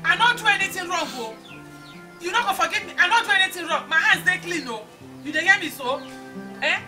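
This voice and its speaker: An actress playing a defensive middle-aged mother who protests loudly in Nigerian Pidgin. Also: extremely high pitch, lively intonation, music in the background. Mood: protesting. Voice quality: loud, protesting, rapid Pidgin. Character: defensive mother of a ruined son. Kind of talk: film drama acting.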